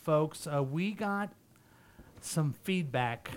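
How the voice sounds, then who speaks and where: A man with a somewhat high voice hosts a small radio talk show, rambling through station business between jokes. He laughs often and keeps the banter going with his co-hosts from a studio microphone. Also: expressive, extremely slow.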